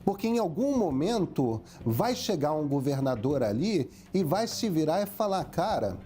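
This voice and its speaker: A man explains history in an animated documentary interview, now and then acting out what people said. His voice is high yet mellow in tone. A faint hum sits in the background. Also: normal speed. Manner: animated, explanatory, acts out quotes.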